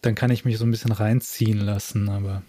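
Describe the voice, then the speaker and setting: A man chatting casually as host, thoughtful and often pausing to search for words. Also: fairly low pitch, level tone, mellow, no room sound, studio quality. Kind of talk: casual chat.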